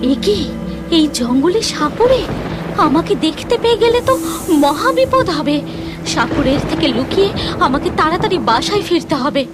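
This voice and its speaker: A woman's voice: extremely high pitch, expressive, moderate pace, loud music behind the voice. A woman voicing an anxious, fierce shape-shifting mother snake in a melodramatic cartoon fairy tale. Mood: worried. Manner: melodramatic, exclamatory, pleading.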